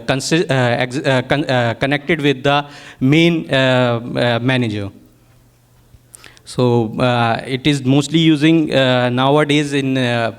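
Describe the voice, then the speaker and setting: A man's voice: mid-range voice, clear, resonant tone. A man from Pakistan, a native Urdu speaker with a Pakistani accent, gives a technical workshop presentation as a product manager, often hesitating and searching for words.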